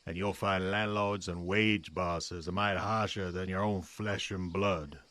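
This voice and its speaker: A man's voice: sits low, fairly even intonation, unhurried, a bit bright. An actor playing a domineering old farmer father in a period audio drama, curt and scolding, with old-fashioned rural speech. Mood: stern, scornful. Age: older.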